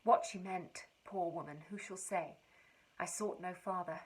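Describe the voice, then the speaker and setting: A woman giving an expressive, wry and precise reading of a period letter. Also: on the low side, unhurried, slightly rough, poor audio. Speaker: reader.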